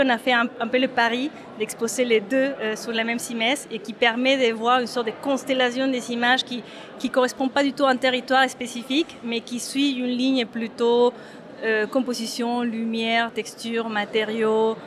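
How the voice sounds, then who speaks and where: A hesitant woman from Mexico with a somewhat high voice answers an interviewer's questions about her work. She restarts her sentences often and fills pauses with "uh" as she searches for words. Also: noisy background. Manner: hesitant, many fillers and restarts. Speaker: photographer. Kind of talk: interview at an exhibition opening.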